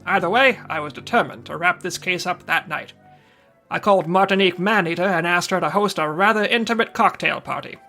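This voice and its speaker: Old radio private eye, wry and hard-boiled, sharp punchy voice. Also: male voice, high voice, a little fast, vocal fry, faint music behind, heavily acted. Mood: determined. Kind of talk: 1940s radio detective acting and narration.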